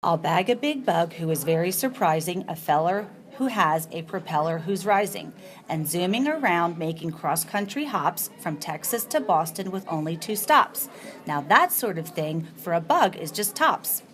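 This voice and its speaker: A woman's voice: on the low side, animated, moderate pace. A woman on staff reading a rhyming storybook aloud.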